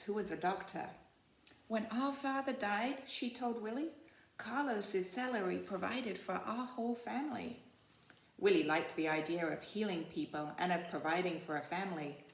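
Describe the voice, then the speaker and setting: Lady teacher doing storytime, kinda low n gravelly, reads with expression and chatty asides, echoey room. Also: a little hiss or hum. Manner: explains to young listeners in simple, informal words. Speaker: teacher reading a picture book aloud. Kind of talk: expressive read-aloud storytelling with casual asides. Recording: roomy, echoey sound.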